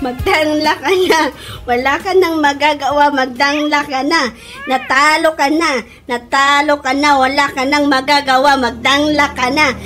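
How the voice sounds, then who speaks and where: Actress as high-voiced, teasing, gloating woman. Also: steady tone, measured pace, music in the background. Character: teasing, gleeful woman gloating over a political loser. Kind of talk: Ilocano radio comedy drama acting. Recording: noisy radio drama recording.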